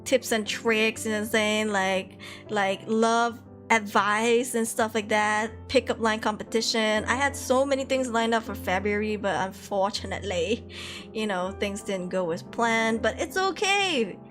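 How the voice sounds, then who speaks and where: A woman's voice: mid-range voice, measured pace, a bit bright, soft background music. A young woman from Malaysia, chatting casually on a stream, reading viewer comments and laughing often.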